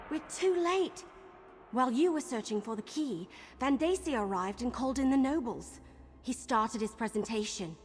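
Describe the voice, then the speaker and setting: A young female archer companion from a fantasy game schemes eagerly. Her fairly high voice swings with animation and a conspiratorial air. Hall reverb and music surround it. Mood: urgent.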